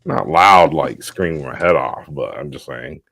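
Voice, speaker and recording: A middle-aged pastor with a booming, extremely deep voice, talking slowly and unhurriedly as a guest. The sound is dry and close, and he drifts easily through fillers. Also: pushed and bright, no echo. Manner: easygoing, loose with fillers like "like". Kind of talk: casual interview chat.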